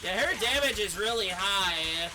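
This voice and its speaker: A man's voice: sits high, lively intonation, measured pace, poor audio. A man giving casual, offhand, slangy gameplay commentary as a streamer. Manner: offhand, slangy, mild swearing. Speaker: game streamer. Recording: game music behind the voice.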